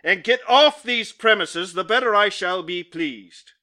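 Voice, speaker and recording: A man's voice: high-pitched, lively intonation, moderate pace, pushed and bright. A man doing a scornful, curt office boss. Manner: curt, scolding, emphatic. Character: stern, contemptuous male office employer.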